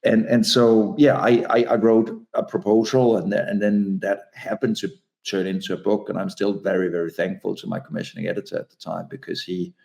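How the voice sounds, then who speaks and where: Low gravelly Danish man, thinking aloud. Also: flat delivery, fairly quick, hardly any pauses, darkish tone. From Denmark. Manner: hesitant, repeats words as he thinks. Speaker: guest, author. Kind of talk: conversational interview.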